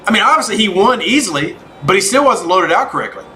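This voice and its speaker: High, bright-voiced man chatting on a livestream. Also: very animated, fast talker, a little hiss or hum. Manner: chatty, addresses viewers by name, informal. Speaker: game livestream host. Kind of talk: casual livestream chat while gaming, answering viewers.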